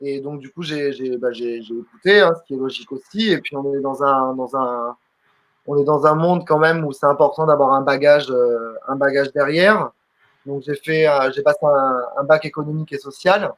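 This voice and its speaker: A man's voice: medium pitch, moderate pace, a bit bright. A man in his twenties, a comedian from southern France, answering interview questions in a chatty way with many fillers and restarts. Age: 20s. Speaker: guest comedian.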